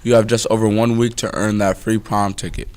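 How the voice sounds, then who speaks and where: Young man, slightly low voice, student announcer reading school notices from a script. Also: little pitch movement, fairly quick, a little mellow, clear, resonant tone.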